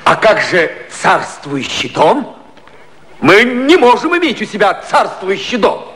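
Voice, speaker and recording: High, flustered, blustering man. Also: big pitch swings, a little slow, pushed and bright, exaggerated voice. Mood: shocked. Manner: spluttering, exclamatory. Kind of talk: 1950s satirical radio play acting.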